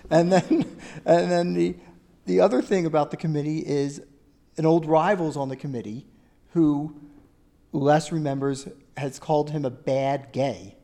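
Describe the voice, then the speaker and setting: A man's voice: a little high, fairly even intonation, unhurried, creaky, warm tone. A man interviewing an author, summarizing the book's plot to set up his questions.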